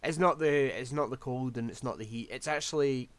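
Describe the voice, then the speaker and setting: A man's voice: mid-range voice, a little fast, no room sound. A man, rambling through casual gameplay commentary while losing his voice. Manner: rambling, scatterbrained, self-deprecating. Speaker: game streamer.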